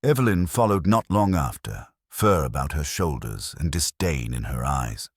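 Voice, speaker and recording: A male narrator with a very low, bass voice tells a detective story in scripted first person. His delivery is measured and dramatic, with animated pitch movement. The sound is dry, with no room to it. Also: no echo. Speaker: audiobook narrator.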